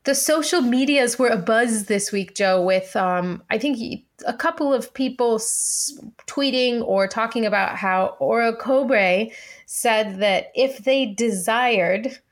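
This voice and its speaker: Female podcast co-host, slightly high voice, conversational delivery. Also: slow pace.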